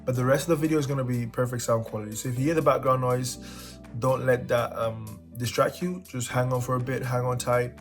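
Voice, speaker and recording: A man's voice: medium pitch, steady tone, fairly quick. A young man from Cameroon hosting his own channel in a casual, playful, joking way. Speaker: channel host. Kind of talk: casual vlog chat. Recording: echoey room with music behind.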